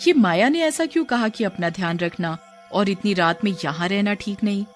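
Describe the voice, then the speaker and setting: A woman's voice: mid-range voice, normal speed, faint music behind, natural voice. A woman voicing an anxious, easily frightened young wife in a cartoon horror story. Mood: puzzled.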